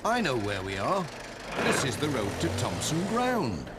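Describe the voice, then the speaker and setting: A man's voice: mid-range voice, wildly swooping pitch, measured pace. A man voicing a mild, fretful village postman in a children's cartoon, with an English accent. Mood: cheerful. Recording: vehicle noise behind.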